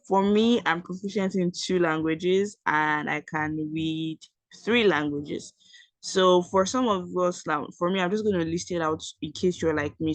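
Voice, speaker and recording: A woman's voice: deep voice, dry recording. A Nigerian woman who speaks Yoruba at home, giving a chatty screen-share tutorial with many fillers and self-corrections. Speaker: education YouTuber.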